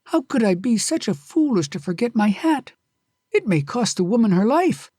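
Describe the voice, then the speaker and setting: Earnest, courteous young male traveler, put on by a storyteller, raspy, very animated. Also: mid-range voice, normal speed, no room sound, studio quality, pitched above the performer's own voice. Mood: anxious. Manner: courteous, pleading.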